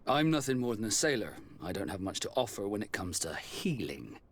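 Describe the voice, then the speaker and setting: A man's voice: on the low side, big pitch swings, brisk, slight background noise. A man acting a modest, forthright sailor in a video game cutscene. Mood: frank.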